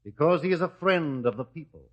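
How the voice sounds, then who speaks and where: A man's voice: fairly high pitch, animated, a little fast. A man playing a principled, defiant judge in a radio drama, speaking in firm declarations. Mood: firm defiance. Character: principled, defiant male judge of high standing. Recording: dull lo-fi radio recording.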